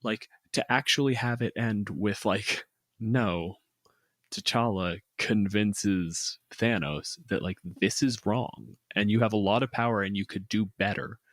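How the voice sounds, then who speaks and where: A man's voice: on the low side, a little slow, no room sound. A man co-hosting a podcast in casual, conversational discussion. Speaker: podcast co-host.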